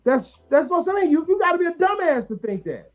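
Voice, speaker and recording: A blunt Chicago man in casual podcast chat, swearing freely as he rants. His pitch swings widely. The sound is a bit dull, with faint music underneath. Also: a little fast. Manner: profane, blunt, animated ranting. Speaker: podcast co-host.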